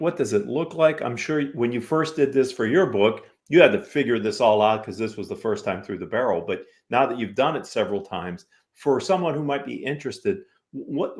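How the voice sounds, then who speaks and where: A man's voice: mid-range voice, darkish tone. A man hosting a business talk show, asking conversational, reflective questions of his guests. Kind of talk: business interview, thoughtful questions.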